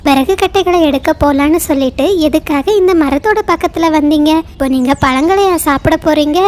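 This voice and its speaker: A woman's voice: high voice, a little fast, a little mellow, close to an everyday voice. A woman voicing a practical, bossy female crow in a children's cartoon story. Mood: impatient.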